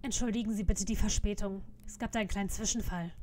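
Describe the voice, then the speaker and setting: A woman's voice: mid-range voice, steady tone, fast, a little mellow, a little hiss or hum, natural voice. A woman playing a polite, earnest young volunteer in a parody audio drama, courteous and proper. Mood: polite, eager. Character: polite, earnest young female volunteer, well-bred and helpful.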